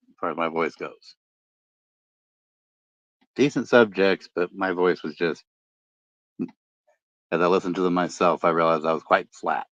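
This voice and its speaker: A middle-aged man with a deep voice, chatting casually late at night and rambling with many fillers and restarts. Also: fairly bright tone, no room sound. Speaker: podcast host and ham radio vlogger. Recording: dull lo-fi dry sound.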